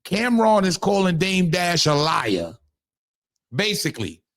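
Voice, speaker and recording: A man from Harlem with a New York accent, his voice pitched well above most men's. He hosts his own commentary channel, talking straight to the viewers, and he drives his points home by firing the same question again and again. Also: sing-song, unhurried, a bit bright. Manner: emphatic, repeats rhetorical questions. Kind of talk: casual commentary to camera.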